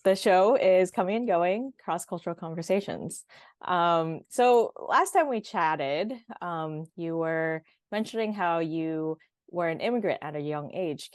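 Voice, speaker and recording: A woman hosting an interview, asking curious questions with soft reactions. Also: lowish voice, no echo, clean studio sound. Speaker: host. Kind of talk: casual interview.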